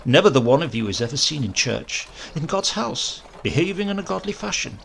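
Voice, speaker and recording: Guy reading as a fussy young parson, high voice, goes up and down a lot, bit of hiss behind. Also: big pitch swings, fairly quick, gravelly, a bit bright, exaggerated voice. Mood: indignant. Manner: fussy, indignant, preachy. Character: prim earnest young male parson.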